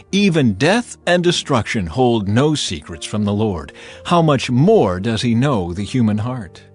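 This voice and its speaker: Expressive, slow-paced man reading scripture. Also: mid-range voice. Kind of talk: dramatized audiobook scripture reading.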